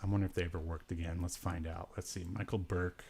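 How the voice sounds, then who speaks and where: A man with a fairly low voice talks as a podcast host in a loose, casual livestream style. He rambles along and jokes dryly as he goes. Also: little pitch movement, fast talker, vocal fry, mellow, husky. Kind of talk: casual solo livestream chat about obscure media.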